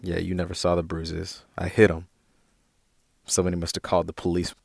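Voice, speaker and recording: A man's voice: sits low, monotone, a little fast, gravelly, darkish tone, no echo, studio quality. A man playing a hurt, defiant younger brother in a naturalistic audio drama, with an American accent and brooding, slangy speech. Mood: brooding, wounded.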